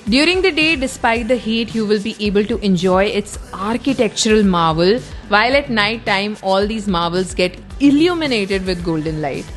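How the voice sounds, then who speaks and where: A woman's voice: mid-range voice, lively intonation, normal speed, hardly any pauses. A young woman with an Indian accent narrating a travel vlog as its presenter. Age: young adult. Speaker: travel vlogger. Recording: roomy, music underneath. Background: background music.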